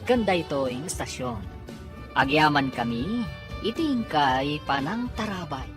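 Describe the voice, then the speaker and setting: Radio serial narrator: low-voiced Ilocano woman, dramatic scripted delivery with big swings in pitch. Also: expressive, measured pace. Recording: music under voice. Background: dramatic music.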